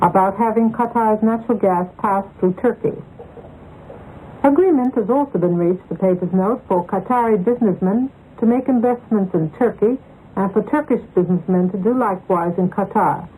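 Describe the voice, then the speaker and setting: A woman's voice: medium pitch, normal speed, warm tone, husky. A woman newsreader delivering a scripted press review on an international radio broadcast. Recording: noisy 1980s shortwave radio.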